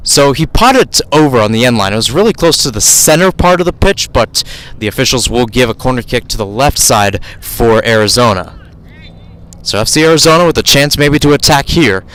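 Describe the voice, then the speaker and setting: A man's voice: mid-range voice, animated, brisk, fairly bright tone, poor audio. A man calling live soccer play-by-play for radio, describing the action as it unfolds and often correcting himself mid-phrase. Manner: running description with frequent self-corrections.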